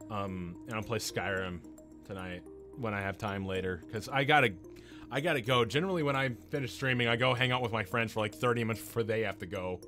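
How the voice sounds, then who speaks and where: A young man streaming games, chatting casually and rambling with his viewers, his throat strained and his voice wearing out. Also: medium pitch. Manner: rambling, restarts often, talks loud and a lot. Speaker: game streamer. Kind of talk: casual chat with live chat viewers.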